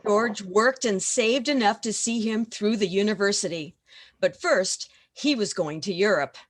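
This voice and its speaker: A woman acting as the narrator of an amateur radio play, telling the story from a script. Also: mid-range voice.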